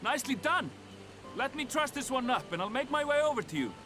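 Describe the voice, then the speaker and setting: An actor playing a composed, helpful creature collector in a video game, with an Icelandic accent and a measured, explanatory delivery. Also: male voice, very high-pitched, sing-song. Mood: pleased, approving. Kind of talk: scripted video game dialogue. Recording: game music behind the voice.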